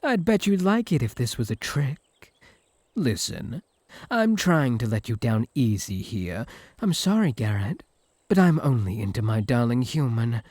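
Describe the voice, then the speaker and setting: A man's voice: mid-range voice, big pitch swings, measured pace, a little mellow, no room sound. A man with a British accent playing a smug, teasing, possessive incubus in a comic audio roleplay. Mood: mocking, amused. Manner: mocking, flirtatious banter.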